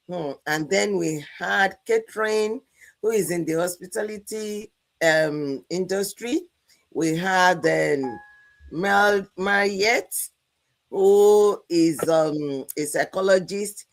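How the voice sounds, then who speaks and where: A woman from Nigeria co-hosting a live-stream chat, unhurried and musing with "hmm" pauses. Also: on the low side, gravelly. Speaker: co-host.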